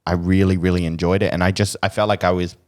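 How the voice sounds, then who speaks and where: A young Australian man with a very low, deep voice hosts a casual podcast conversation. He talks in a relaxed, hesitant way, full of fillers and restarts. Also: fast. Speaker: podcast host.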